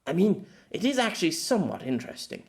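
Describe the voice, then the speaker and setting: A man's voice: sits high, sing-song, quick pace, vocal fry, a little mellow, pitched above the performer's own voice, full character voice. A man voicing a grumpy, condescending novelist with a pompous, exasperated, sardonic manner. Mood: musing, condescending.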